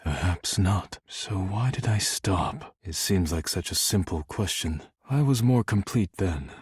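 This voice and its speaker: Stern, formal, husky low-voiced man. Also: expressive, no echo, clean studio sound, full character voice. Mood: wistful, regretful. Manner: formal, measured, stiff diction without contractions. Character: stern, formal, work-obsessed man, devoted to porcelain. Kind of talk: video game character dialogue.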